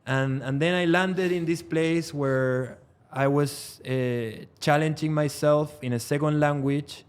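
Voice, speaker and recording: Chilean man, smooth clear voice. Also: on the high side, fairly even intonation, takes it slowly. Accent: Chilean Spanish. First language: Spanish. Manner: thoughtful, explains step by step with many fillers and restarts. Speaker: trial lawyer, podcast guest. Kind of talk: casual interview chat.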